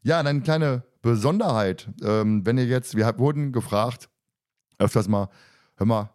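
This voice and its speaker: A man's voice: medium pitch, moderate pace, a little mellow, no echo, clean studio sound. A man hosting a casual podcast, chatting and making announcements in a joking, teasing, talkative way. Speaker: podcast host and moderator.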